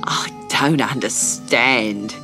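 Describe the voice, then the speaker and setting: A woman voicing a gruff farmer father in a storybook. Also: very deep voice, drawn-out words, music in the background, pitched below the performer's own voice, exaggerated voice. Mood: moved. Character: farmer father, rough but loving.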